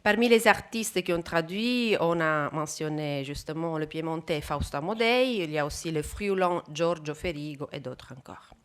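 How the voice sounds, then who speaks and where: A woman from Italy, giving a careful, measured talk at an academic conference. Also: low-pitched, clean tone. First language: Italian. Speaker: conference speaker.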